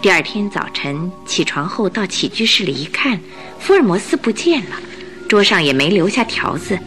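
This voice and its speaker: A woman narrator telling a story for a radio drama. Her mid-range voice moves with lively, expressive intonation over soft background music. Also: mid-range voice, moderate pace.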